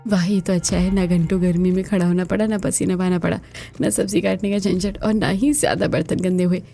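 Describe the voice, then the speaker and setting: A woman voicing the women of a cartoon household with an expressive, theatrical delivery. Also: fairly low pitch, fairly quick, gravelly, soft background music. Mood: pleased. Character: women of a cartoon household, scheming young daughter-in-law and exasperated elders. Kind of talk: dramatised cartoon dialogue.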